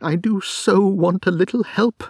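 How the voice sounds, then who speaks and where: Man putting on a frightened high-voiced woman. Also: little pitch movement, slow pace, a little mellow, no room sound, studio quality. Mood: distraught. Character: distressed young woman, pleading and frightened.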